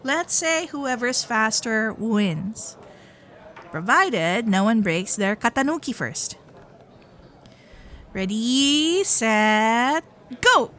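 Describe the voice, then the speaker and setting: A woman voicing a sweet, playful fox-spirit girlfriend in an ASMR roleplay, coy and affectionate, with soft hesitations. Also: fairly high pitch, lively intonation, drawn-out words, pauses often, full character voice. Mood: confident, teasing. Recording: festival crowd and fireworks sound effects.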